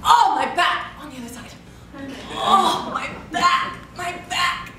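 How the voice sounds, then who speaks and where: A woman's voice: fairly high pitch, lively intonation, moderate pace, bright, forceful tone. A woman playing a chatty, teasing old nurse in classical stage drama, rambling and exclaiming theatrically. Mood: weary, complaining. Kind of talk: classical stage acting of Shakespeare. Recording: echoey room, distant mic, faint noise.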